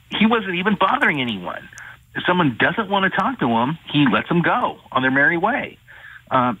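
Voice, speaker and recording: A man, an attorney, calling in to an interview and recounting a case in a measured way. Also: a little high, husky, no echo. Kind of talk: phone-in interview. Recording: tinny phone line.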